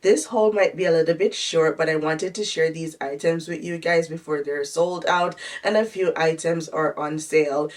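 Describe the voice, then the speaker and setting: A woman's voice: deep voice, fairly even intonation, normal speed, few pauses, far from the mic. A woman vlogger sounding a little nasal from a cold, chatting through a fashion try-on haul in a friendly, descriptive way.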